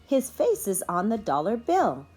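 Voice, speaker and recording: A woman reading a storybook as an excited, curious young girl who exclaims eagerly. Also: mid-range voice, unhurried, breathy edge, faint music behind, voice pushed up, full character voice. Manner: exclaiming, wide-eyed and eager.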